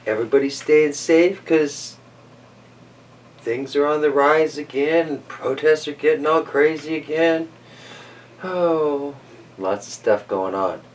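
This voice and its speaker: A man hosting a children's story reading, mixing casual, easygoing chat and musing asides with animated storybook narration. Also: on the high side, takes it slowly, far from the mic, slight background noise.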